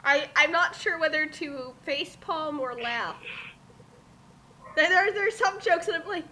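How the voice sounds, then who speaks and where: A woman bantering casually and reacting excitably during a group reading, full of exclamations. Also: high voice, a little slow, slight background noise, low-quality recording. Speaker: commentator in a dramatic fanfic reading.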